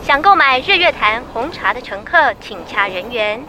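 A woman's voice: on the high side, lively intonation, normal speed, pushed and bright, slight background noise, low-quality recording. A woman playing a polite, formal train announcer in a children's audio drama.

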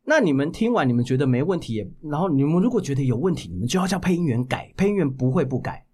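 Taiwanese mandarin guy on a podcast, very lively pitch swings, casual, keeps rephrasing stuff as he explains. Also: fast talker, slightly rough. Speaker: guest, voice actor.

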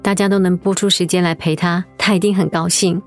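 A woman voicing a caring young niece in a radio drama, gentle and polite in her speech. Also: fairly low pitch, flat delivery, a little fast, faint music behind, natural voice. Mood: concerned.

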